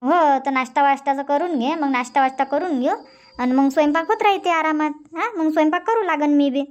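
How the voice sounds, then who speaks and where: A woman's voice: sits high, brisk, natural voice. A woman playing a chatty, nagging but loving village wife in a cartoon comedy, speaking rural Vidarbha Marathi with emphasis. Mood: brisk, instructing. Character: bickering but loving Indian village wife and mother-in-law. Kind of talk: cartoon comedy dub dialogue.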